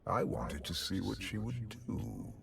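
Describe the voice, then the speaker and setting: Very deep, gravelly imperious ruler. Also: male voice, lively intonation, a loud crowd, full character voice. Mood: calm, imperious. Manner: measured, aloof, commanding. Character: imperious, scheming male ruler, cold and deliberate. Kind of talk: video game cutscene acting.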